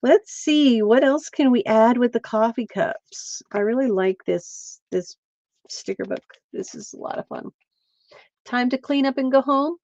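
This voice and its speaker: A woman's voice: a little high, unhurried, darkish tone, dry recording, lo-fi sound. A middle-aged woman from Pennsylvania, chatting casually with viewers while she crafts on a livestream. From central Pennsylvania.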